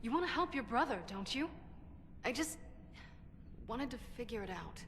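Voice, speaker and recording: A woman playing a brash, cunning dwarf smith and adventurer in a video game, wry, confident and quick to defend herself. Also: a little high, lively intonation, fairly bright tone. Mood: defensive, earnest. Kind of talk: video game cutscene voice acting. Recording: reverberant cavern sound with ambient noise.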